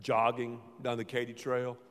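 A man preaching a sermon as pastor, playful and dramatic, often dropping to a low murmur. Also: monotone, moderate pace. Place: large church hall. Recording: reverberant church hall.

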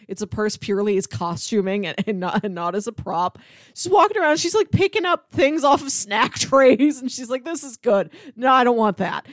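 A woman's voice: on the high side, big pitch swings, normal speed, dry recording, studio quality. A woman co-hosting a casual TV review discussion, recounting scenes and voicing what the characters think. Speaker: podcast co-host.

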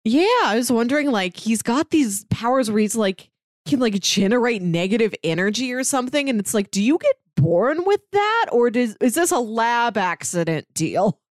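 A woman's voice: fairly high pitch, very animated, moderate pace, no room sound. Woman in casual, dry-sounding podcast chat. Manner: informal, peppers speech with "like". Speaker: podcast co-host. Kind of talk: casual comic book discussion.

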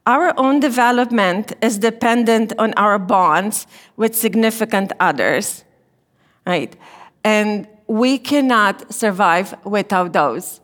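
A middle-aged woman from Romania with a Romanian accent, giving a gentle, unhurried chapel talk as a therapy lecturer. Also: medium pitch. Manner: gentle, unhurried, slightly non-native phrasing. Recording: long reverb in a chapel hall.

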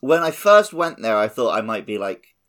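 A man's voice: lowish voice, very animated, normal speed, fairly bright tone. A man co-hosting a casual gaming podcast, jokey and given to acting out imagined quotes. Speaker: podcast co-host.

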